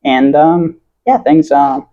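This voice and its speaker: A man's voice: a little high, steady tone, slow pace, dull audio. A young man with an American accent talking casually and earnestly to his viewers in a rambling, self-correcting monologue. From Italy. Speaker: YouTube vlogger.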